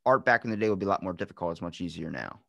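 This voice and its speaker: A man's voice: low voice, very fast, gravelly, fairly bright tone, dry recording, muffled recording. A man in his twenties hosting a casual podcast conversation, informal and slangy, acting out imagined exchanges. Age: 20s. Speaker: podcast host. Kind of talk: casual chat interview. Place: dark indoor room with curtains, video call.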